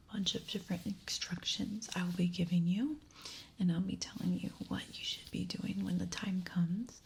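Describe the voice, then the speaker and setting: A woman speaks in a soft, breathy whisper, dark and mellow in tone. She plays an ASMR examiner, giving gentle, soothing instructions at an unhurried pace. A faint hiss sits behind the voice. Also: medium pitch. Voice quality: breathy, mostly unvoiced whisper. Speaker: ASMR creator playing a hearing examiner. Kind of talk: ASMR roleplay, soft personal attention.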